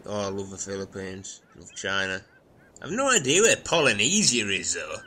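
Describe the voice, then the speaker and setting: A man's voice: lowish voice, very animated, normal speed, sharp tone. A man in comic banter, sarcastic and sweary, prone to ranting. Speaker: comedy podcast cast member.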